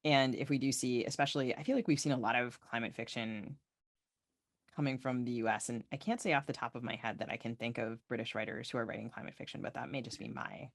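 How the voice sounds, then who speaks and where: Woman podcast host, low voice, thinking out loud, tentative n reflective. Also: fairly even intonation, fast. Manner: tentative, musing. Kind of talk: reflective casual chat.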